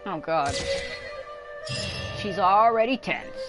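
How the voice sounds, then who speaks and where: Woman, mid-pitched voice, playful sarcastic game streamer, casual commentary, clear music behind. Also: lively intonation, slow. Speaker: game livestreamer. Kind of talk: casual livestream commentary, reading dialogue aloud.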